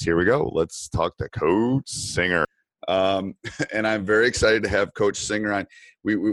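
A man's voice: sits low, dry recording. A middle-aged man hosting a podcast, chatting casually in a rambling, enthusiastic way. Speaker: podcast host and basketball coach. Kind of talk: casual podcast interview chat.

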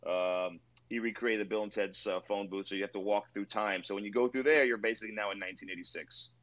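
A man from Maryland, a restaurant owner, telling stories in a relaxed, rambling way with lots of fillers during an interview. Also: on the low side, quick pace, dull audio. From Rockville, Maryland, near Washington D.C. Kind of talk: casual interview over video call. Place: his own themed bar.

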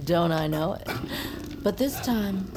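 A woman's voice: medium pitch, animated, slow pace. An actress playing a composed, knowing woman novelist in an audio drama, theatrical and purring. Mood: sultry taunting. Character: composed, sultry female novelist addressing former lover. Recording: music behind the voice.

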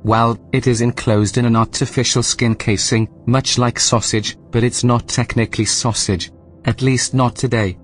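An actor playing a composed, snide artificial intelligence running a station in a sci-fi audio drama, speaking in a precise, formal, deadpan way. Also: male voice, mid-range voice, moderate pace, faint music behind, close to an everyday voice. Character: dry, snide male AI station supervisor, composed.

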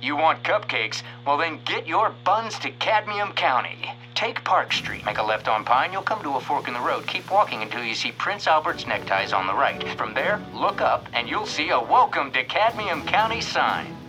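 An actor playing a folksy, theatrical small-town radio host with showy, deadpan comic patter. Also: male voice, on the high side, moderate pace, hardly any pauses, faint music behind, rough recording. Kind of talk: old-time radio hosting, comic scripted narration.

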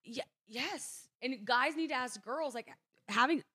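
A woman's voice: sits high, unhurried, pushed and bright, slightly rough, no room sound, clean studio sound. A young woman co-hosting a podcast in casual chat, blunt and teasing, also reading an ad with jokes mixed in. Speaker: podcast co-host. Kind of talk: casual chat and host-read ad.

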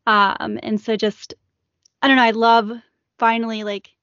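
A woman's voice: on the high side, little pitch movement, unhurried, a bit bright, no room sound, muffled recording. A woman co-hosting a casual panel chat, using "like" often. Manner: says like often. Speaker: recurring co-host.

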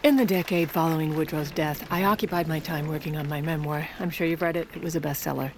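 A low-pitched, grand woman's voice in an audio drama. She is a sardonic, self-important former First Lady narrating her own memoirs with theatrical flourish. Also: fairly even intonation, fast talker, slight background noise. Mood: wry, smug.

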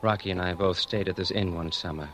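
A sickly, bitter husband with a low voice. He is measured, sardonic and accusing, in 1940s radio drama style, over faint recording noise. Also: fairly even intonation, a bit bright. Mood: quietly threatening. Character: ailing, suspicious, bitterly knowing husband.

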